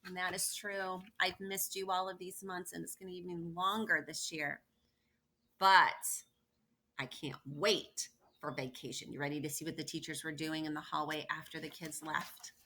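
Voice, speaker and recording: A woman's voice: low-pitched, moderate pace, a little hiss or hum. A woman reading a picture book aloud as a teacher would, expressively, with emphatic stresses and personal asides to her young listeners. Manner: animated storytelling with emphatic stresses and playful questions to listeners.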